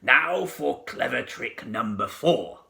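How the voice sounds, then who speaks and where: A man voicing a sly, ravenous crocodile villain in a storybook, savouring his words slowly and gloatingly. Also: medium pitch, lively intonation, creaky, bright, forceful tone, exaggerated voice. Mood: scheming.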